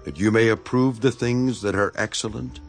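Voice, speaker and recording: An actor playing an earnest, devoted imprisoned apostle in a dramatized scripture reading, speaking in a solemn, measured manner. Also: male voice, fairly low pitch, steady tone, faint music behind, natural voice. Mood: warm devotion. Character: Apostle Paul, imprisoned, earnest, devoted elder writing to his flock.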